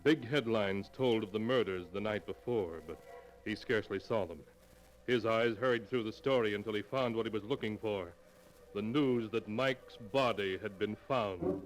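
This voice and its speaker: A man giving dramatic, measured, ominous scripted narration in 1940s radio style. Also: lowish voice, poor audio. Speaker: narrator and announcer.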